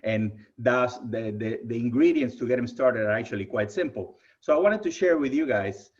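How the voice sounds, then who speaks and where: Keynote tech speaker, male, Cuban Spanish accent, lively and friendly, lo-fi video call sound. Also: medium pitch, moderate pace. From Cuba. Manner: enthusiastic, friendly, addresses audience as "you guys". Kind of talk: virtual presentation talk. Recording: muffled lo-fi video call audio.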